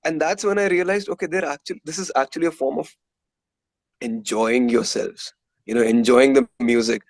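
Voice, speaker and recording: A man's voice: medium pitch, steady tone, normal speed, dry recording. A man from India with an Indian accent, telling stories casually and candidly as a musician being interviewed.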